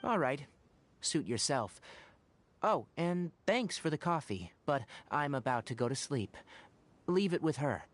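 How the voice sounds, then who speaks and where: An actor playing a serious, principled young male forest ranger and former scholar in a video game, speaking in a measured, articulate, earnest way. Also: a little high, very animated. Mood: calm, considerate. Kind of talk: scripted game dialogue.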